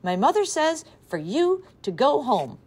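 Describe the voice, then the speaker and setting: A woman's voice: high-pitched, sing-song, measured pace, breathy edge, pitched above the performer's own voice, heavily acted. A woman reading aloud voices a worried schoolgirl, anxious and raised. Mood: yelling. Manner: anxious, then yelling.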